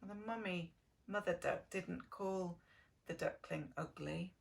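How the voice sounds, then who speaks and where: A woman teacher telling a story to preschool children in a sing-song, exaggerated way, gently asking them questions. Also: on the low side, slow pace, creaky. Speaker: preschool early years teacher reading a story.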